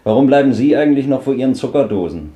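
An actor playing a sharp young man who needles the old men sardonically, in a 1950s radio drama. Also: medium pitch, expressive, quick pace, reverberant, natural voice. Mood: mocking.

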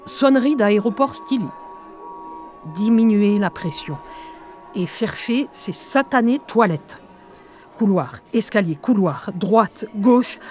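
A woman's voice: medium pitch, darkish tone, lightly acted. A woman playing an anxious, wry narrator who relives her schoolgirl days in a scripted audio drama, in short intimate phrases. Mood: tense, deliberate. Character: Rosette, anxious wry woman reliving being an eleven-year-old schoolgirl. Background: music.

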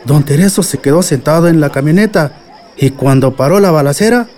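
A man's voice: fairly high pitch, brisk, a little mellow, a faint crowd, natural voice. A man playing a respectful, earnest villager in a radio drama, speaking deferentially with a Mexican accent. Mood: grave, apologetic.

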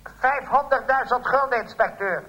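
A man's voice: very high-pitched, normal speed, bright, forceful tone, voice pushed up, rough recording. Een man die een zelfvoldane, beleefd dreigende afperser speelt in een parodie op een detectivehoorspel, zalvend en aandringend. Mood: coolly demanding. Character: smug, polite blackmailing caller in parody detective play. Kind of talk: parody radio detective play.

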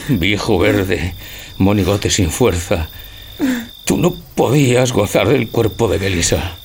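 Tragic old husband, acted by a man, low gravelly voice, grave poetic radio-play delivery. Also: a little mellow, slight background noise. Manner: grave, poetic, deliberate declamation. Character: Don Perlimplín, lovelorn old husband, brooding and tragic. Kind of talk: theatrical Spanish radio drama acting.

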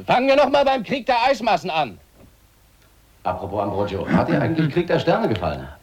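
A mocking radio director, wildly swooping intonation. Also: male voice, a little high, wildly swooping pitch, fairly quick. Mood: brisk, businesslike. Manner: mocking, emphatic. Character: male studio radio director, teasing, provocative, talkative. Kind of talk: radio drama acting, conversational. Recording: very noisy recording.

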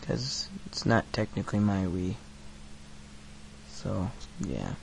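A man's voice: on the low side, very animated, slow, creaky, slight background noise, poor audio. Profane teenage boy ranting on a podcast. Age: teens. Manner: crude, profane, rambling with many fillers. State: recovering from hoarseness. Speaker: podcast host. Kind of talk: casual solo podcast rant.

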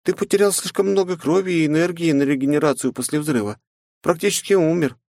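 A man's voice: a little high, fast talker, creaky, darkish tone, no room sound, clean studio sound, voice pushed up. A man voicing a prim female AI assistant. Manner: formal, advisory phrasing. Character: polite female AI assistant, formal and precise. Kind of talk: audiobook character voice.

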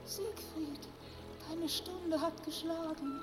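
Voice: very high-pitched, slow pace, over music. A performer voicing a prophetic forest bird in a mournful, foreboding manner in a fantasy radio play. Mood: mournful warning.

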